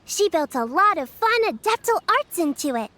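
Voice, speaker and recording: A woman's voice: very high voice, very animated, normal speed, heavily acted. A woman voicing an earnest, caring little girl who looks after her friends, in a video game. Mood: cheerful. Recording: game audio with soft music underneath.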